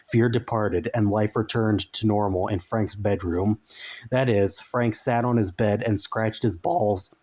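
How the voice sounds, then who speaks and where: A man's voice: fairly low pitch, darkish tone. A man narrating a story as a dramatic reading, in scripted audiobook style.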